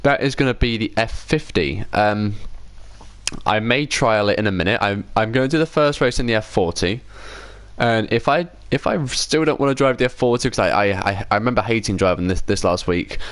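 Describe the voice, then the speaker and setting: Male game streamer, lowish voice, casual rambling commentary, self-correcting, conversational. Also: fairly quick, a bit bright, no echo. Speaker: livestream host.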